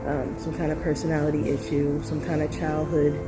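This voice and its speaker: Woman, low voice, nasal from a cold, rambling casual talk, music playing behind. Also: completely flat delivery, fairly quick, low-quality recording. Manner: rambling, full of fillers and asides. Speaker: video commentator. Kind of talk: casual reaction video talk.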